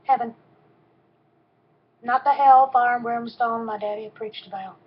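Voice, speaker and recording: A woman in her fifties with a soft voice, giving a personal testimony in a halting way with frequent pauses and ums. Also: fairly high pitch, fairly even intonation. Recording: dull, lo-fi recording.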